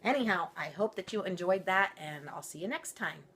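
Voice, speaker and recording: Woman doing storytime for kids, bright n really expressive, lowish voice, chatty asides. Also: brisk, a little hiss or hum. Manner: informal, playful, animated. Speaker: storyteller for children.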